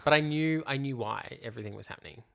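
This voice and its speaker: A woman's voice: a bass voice, quick pace, gravelly, a bit bright. A woman co-hosting a podcast, chatting casually and reacting to her friend. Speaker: podcast co-host. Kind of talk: casual chat, short reactions.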